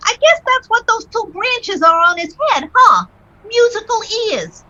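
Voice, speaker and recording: Brash boy sidekick, female actor, extremely high, lively swoops, slangy, old-time radio drama, noisy track. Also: measured pace, muffled recording, exaggerated voice. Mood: matter-of-fact, cheeky. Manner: slangy, exclaiming kid talk. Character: brash, eager young street boy, money-minded sidekick. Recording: noticeable background noise.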